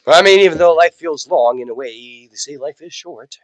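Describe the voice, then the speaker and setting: A man chatting on a casual home podcast, his voice raspy and a little worn from a cold he is getting over. He teases his friend dryly, and his pitch moves around a lot. The sound is dry and close. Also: expressive, moderate pace, dry recording. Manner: dry teasing, laughs a lot. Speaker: podcast co-host. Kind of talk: casual chat.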